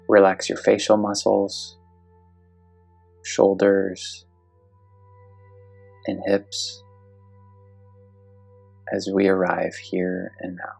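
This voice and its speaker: Low, creaky-voiced meditation guide. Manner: slow, gentle, instructional.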